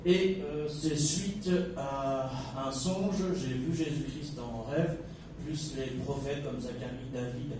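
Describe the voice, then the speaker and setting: A man's voice: medium pitch, takes it slowly. Chatty man preaching in an echoey hall. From Algeria, Kabylie. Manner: chatty, joking asides, many hesitations. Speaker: guest preacher. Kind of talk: informal sermon to a congregation. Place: church hall. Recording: echoey hall from far off, noisy.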